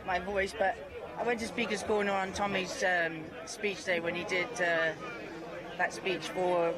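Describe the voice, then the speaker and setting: A woman being interviewed outdoors, her voice hoarse and fading, chatting with many ums and pauses. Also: on the low side, flat delivery. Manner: chatty, hesitant with many fillers. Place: outdoors at a busy urban rally. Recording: crowd behind, outdoor street sound. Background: crowd noise.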